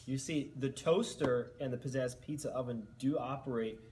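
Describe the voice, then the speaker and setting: Deadpan man doing mock cooking commentary. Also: mid-range voice, normal speed, background noise. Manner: deadpan, earnest infomercial tone. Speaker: co-host. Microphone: headset.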